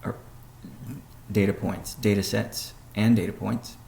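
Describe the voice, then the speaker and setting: A man giving a measured, businesslike update on a conference call, pausing between phrases as he reads out points and answers questions. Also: fairly low pitch, flat delivery, gravelly, dark tone. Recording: noisy conference call audio.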